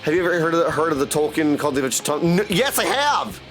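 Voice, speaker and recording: A high-voiced man hosts a game livestream over soft music. He talks casually to his viewers, jumping from one reply to the next and leaning on catchphrases. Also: big pitch swings, fast. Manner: chatty, hops between chat replies, repeats catchphrases. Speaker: livestream host playing a video game; voice actor and audiobook narrator. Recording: music playing behind the voice.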